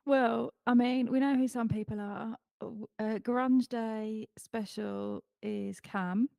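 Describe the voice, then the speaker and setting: A woman's voice: on the high side, steady tone, slow, vocal fry, warm tone, no room sound, clean studio sound. A woman co-hosting a casual live stream, teasing her partner and laughing often. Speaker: co-host.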